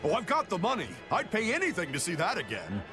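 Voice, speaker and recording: A man playing a pushy, gushing merchant fan in a video game, excitable and wheedling. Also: high-pitched, fast, music in the background, exaggerated voice. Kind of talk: video game character acting.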